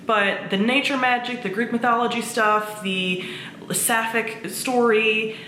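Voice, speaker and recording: A woman's voice: a little high, steady tone, a little slow, pushed and bright. A woman chatting casually as a video host, gushing and informal in her enthusiasm. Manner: gushing, informal, mild swearing. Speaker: book reviewer, video host. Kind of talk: casual chat to camera. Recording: echoey room, faint background noise.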